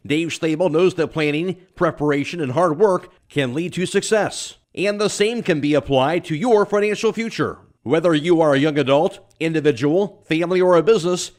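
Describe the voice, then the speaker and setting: A man with a fairly high-pitched voice speaks as a local radio sports broadcaster. He delivers announcements and sponsor copy in a scripted radio style. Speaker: play-by-play broadcaster and sponsor reader.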